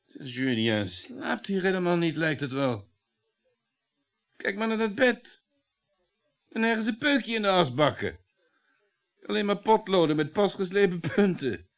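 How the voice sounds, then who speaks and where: A middle-aged husband in a radio drama, domineering and uneasy. His pitch moves in wide, lively swings as he needles and mocks. He talks on and on, with a sardonic edge. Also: a little slow, long pauses, heavily acted. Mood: scornful. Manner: mocking, talkative, sardonic chuckles. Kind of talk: Dutch radio play acting.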